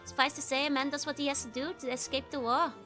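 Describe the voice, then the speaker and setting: A woman's voice: high-pitched, fairly even intonation, fast talker, pushed and bright, soft background music. A Dutch-accented woman reading the lines of a weary male former sellsword, with a light dramatic tone. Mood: grim storytelling.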